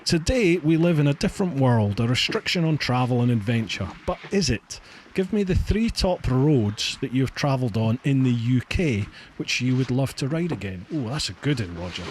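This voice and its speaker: A man's voice: medium pitch, animated, normal speed, few pauses, a little mellow. A man hosting a podcast in casual, emphatic conversation. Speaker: podcast host. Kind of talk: casual chat. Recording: faint background noise, online call.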